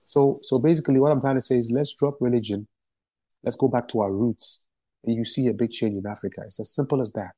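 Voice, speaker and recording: A man's voice: mid-range voice, quick pace, darkish tone, no room sound. A man from Ghana, a musician and activist, answering interview questions in a passionate, emphatic way and repeating phrases for stress.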